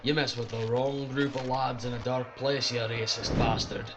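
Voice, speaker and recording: A man's voice: medium pitch, flat delivery, moderate pace, noisy background, close to an everyday voice. An actor playing a blunt, defiant working-class Scotsman in an audio drama, with a Scottish accent.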